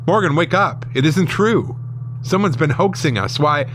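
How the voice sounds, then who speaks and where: Frantic night-shift man acted by a narrator, urgent raised pitch, exclaiming, clear hum behind. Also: expressive, normal speed, close to an everyday voice. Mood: frantic alarm. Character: alarmed male night-shift worker shouting at colleague.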